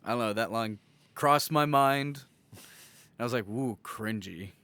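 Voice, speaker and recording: A man's voice: mid-range voice, measured pace, pushed and bright, no echo. A young man hosting a podcast with casual banter and a slangy, sweary way of talking. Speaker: podcast host.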